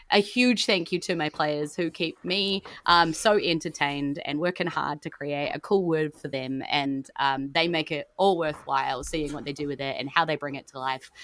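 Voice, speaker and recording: A woman's voice: sits low, bright, forceful tone, dry recording. A woman with a New Zealand accent hosting a podcast as game master, chatting in a casual, jokey, informal way. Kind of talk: casual podcast outro chat.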